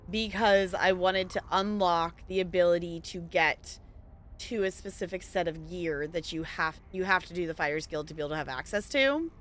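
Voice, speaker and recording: A woman's voice: on the low side, fairly bright tone. A woman streamer chatting playfully and rambling through casual live commentary on a game.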